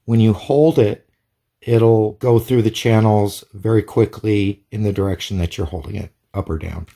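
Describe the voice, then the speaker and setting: A man's voice: fairly low pitch, fairly even intonation, normal speed, gravelly, dark tone. A man giving a casual hands-on product demonstration in a plain, methodical, step-by-step way. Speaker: product reviewer.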